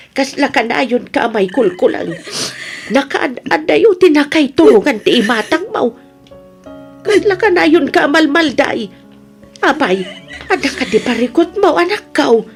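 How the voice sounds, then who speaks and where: A woman's voice: high-pitched, lively intonation, normal speed, soft background music. A woman playing a concerned mother who coaxes and questions insistently in an emotive radio drama style. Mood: concerned, probing. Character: concerned, probing mother of a troubled grown daughter. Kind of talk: radio drama acting in Ilocano.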